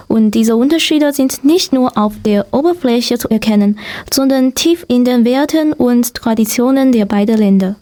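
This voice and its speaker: A woman's voice: a little high, warm tone. A woman from China with a Chinese accent, a native Chinese speaker giving prepared answers as a radio guest. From Xiamen, southeast China.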